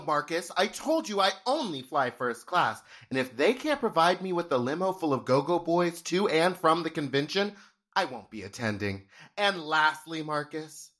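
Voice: mid-range voice, a bit bright. An actor playing a bitchy, demanding drag queen star in a comedic audio drama, haughty and theatrical.

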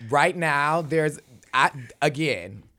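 A man's voice: on the high side, lively intonation, slow pace, sharp tone. A man co-hosting a podcast with sassy, playful, slangy casual banter. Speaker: podcast host.

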